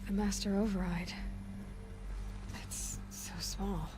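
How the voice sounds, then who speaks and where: An actress playing a brave young female warrior in a video game, soft-spoken and at times whispering. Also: mid-range voice, fairly even intonation, slow pace, noisy background, close to an everyday voice. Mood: quiet. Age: young adult.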